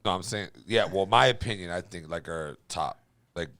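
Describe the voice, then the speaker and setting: A man's voice: sits low, normal speed, pushed and bright, dry recording. A man hosting a podcast, talking in casual, slangy chat with friends. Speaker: podcast host.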